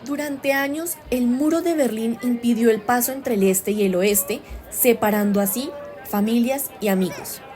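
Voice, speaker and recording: Woman as formal, higher-pitched radio newsreader. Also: moderate pace, hardly any pauses, a little mellow, faint music behind, close to an everyday voice. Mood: solemn, proud. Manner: formal, declarative. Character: solemn female radio news reporter announcing historic events. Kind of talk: scripted news bulletin.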